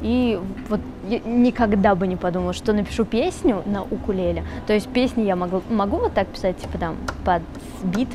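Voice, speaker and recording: A young woman singer answering questions in an easy, chatty way, full of little fillers. Her voice sits at an ordinary pitch. Traffic noise hums clearly behind her. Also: a little fast. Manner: relaxed, chatty, switches between Russian and Tatar, many fillers. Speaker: singer being interviewed. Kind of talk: casual interview answers. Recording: noisy background with traffic.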